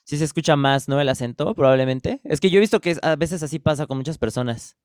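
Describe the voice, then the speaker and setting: A man with a Mexican accent hosting a casual podcast interview. His voice is smooth and clear, recorded dry with no room sound, and he talks in a loose, chatty way. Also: fairly high pitch, quick pace, no echo. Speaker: podcast host.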